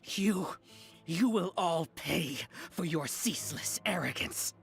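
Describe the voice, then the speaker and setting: Arrogant hive overlord, man, fairly high male pitch, menacing, grandiose, deliberate, anime game villain, music behind. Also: lively intonation, vocal fry, slightly rough, full character voice. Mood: threatening. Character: arrogant male villain, self-styled ruler of a hive mind. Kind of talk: anime video game voice acting.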